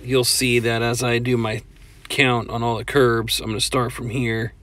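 A man's voice: medium pitch, level tone, moderate pace, a bit bright, no echo. A man walking an outdoor parking lot with a handheld phone, narrating a plain, matter-of-fact walkthrough and counting aloud with fillers. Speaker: paving estimator. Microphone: phone held in hand while walking.

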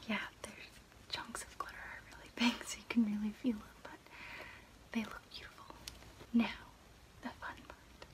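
A young woman playing a friendly water fairy in an ASMR roleplay, speaking casually in a breathy, close-miked whisper with small hesitations. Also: fairly high pitch, level tone, normal speed, pauses often, lightly acted. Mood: chatty, confiding. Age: young adult. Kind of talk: ASMR roleplay, personal attention. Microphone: close mic.

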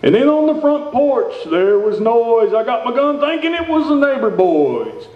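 A man's voice: extremely high pitch, big pitch swings, normal speed. A man playing a folksy redneck storyteller and host, reading theatrically in a live stage radio show. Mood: storytelling. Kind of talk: live old-time radio show performance. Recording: roomy, dull stage recording.

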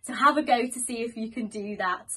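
A Welsh woman in her twenties, a primary school teacher giving a lively, clear lesson for young children, filmed on a phone in a small office room. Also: on the high side. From Wales. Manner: animated, slow and clear for children. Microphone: phone camera a few metres away.

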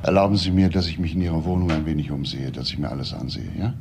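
Low-voiced probing detective. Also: male voice, fast talker, background noise. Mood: calm, considerate. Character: male police detective, probing, insistent yet considerate. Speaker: actor in a crime radio play. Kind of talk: German crime radio drama acting.

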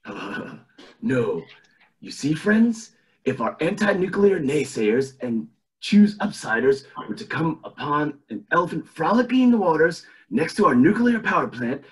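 A man's voice: fairly high pitch, sing-song, normal speed, poor audio. A man voicing a scheming, smug elderly tycoon in an oily, pompous, theatrical way, in an amateur cartoon table read. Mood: smarmy, oily. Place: indoors at home on a video call.

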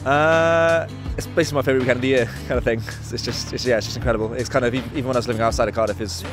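A man's voice: medium pitch, fairly even intonation, racing, over music. A young man chatting loosely in a casual interview as a festival worker, outdoors on a handheld microphone. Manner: loose, repeats "kind of". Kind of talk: casual chat. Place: outdoors on a city street.

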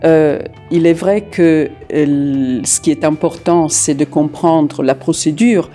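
A middle-aged woman with an Italian accent, an interpreter answering interview questions with many hesitant filler pauses, seated in an office. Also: sits low, warm tone, soft background music. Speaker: court interpreter. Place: office room with large window.

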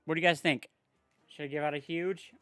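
Man, game stream host, upbeat bright voice, dry close sound, chats in bursts. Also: fairly high pitch, steady tone, fairly quick, no echo, faint music behind. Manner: chatty, talks to viewers and family in bursts. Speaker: livestream host running giveaways. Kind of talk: casual livestream chat.

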